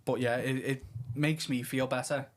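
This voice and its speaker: A man from Liverpool speaking as a podcast guest, candid and informal, a little halting as he talks about himself. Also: mid-range voice, level tone, a little hiss or hum. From Liverpool, England. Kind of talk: casual personal chat.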